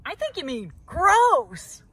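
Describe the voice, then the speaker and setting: Picky, melodramatic storybook bird, played by a woman: bright, high, whining, theatrical complaints. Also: very animated, takes it slowly, no room sound, exaggerated voice. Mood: disgusted, indignant. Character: whiny, dramatic, picky hungry bird.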